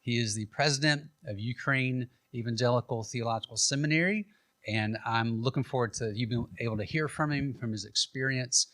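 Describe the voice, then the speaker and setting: A man's voice: mid-range voice, fairly quick, fairly bright tone, no room sound. A man hosting a lunchtime talk, making announcements and asking interview questions in a friendly, informal way with frequent fillers. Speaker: event host, interviewer.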